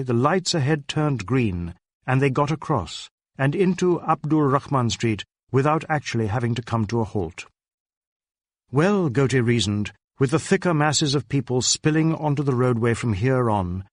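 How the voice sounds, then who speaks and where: A man's voice: medium pitch, no echo. Man narrating a detective story, very dry sound. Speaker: audiobook narrator.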